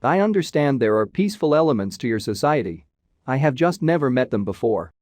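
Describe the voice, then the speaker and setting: A pragmatic spacefaring adventurer for a sci-fi game, voiced by a man. He has an ordinary mid-pitched voice and talks in a casual, direct, slightly dry way. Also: big pitch swings, fairly quick, darkish tone, dry recording, close to an everyday voice. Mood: earnest. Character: adult human space pilot, pragmatic, wry adventurer.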